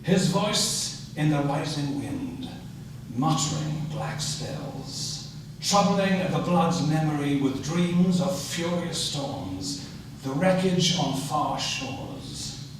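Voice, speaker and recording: A slow-speaking man with a slightly high voice recites poetry, phrasing deliberately with pauses. The hall is very echoey, and he sounds distant from the microphone. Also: sing-song. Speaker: poetry reader at an event. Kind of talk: live poetry reading. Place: auditorium stage at a public reading. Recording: echoey auditorium, distant mic, noisy.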